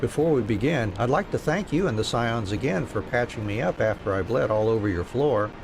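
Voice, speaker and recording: A man's voice: mid-range voice, brisk, creaky. A man streaming a game, reading story dialogue aloud and chatting casually. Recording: steady noise behind the voice.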